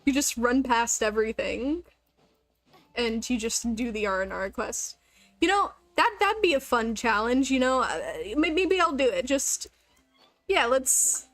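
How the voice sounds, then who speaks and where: A woman's voice: a little high, a bit bright, no room sound. A woman chatting casually on a gaming livestream, rambling along with the odd swear word.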